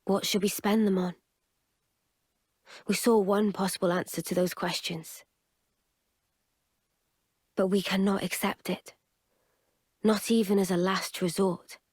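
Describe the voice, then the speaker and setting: A woman playing an earnest, resolute young fighter in a video game, solemn and reflective, with a breathy, husky voice. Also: mid-range voice, fairly even intonation, unhurried, long pauses, darkish tone, no echo, clean studio sound. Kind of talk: dramatic video game narration.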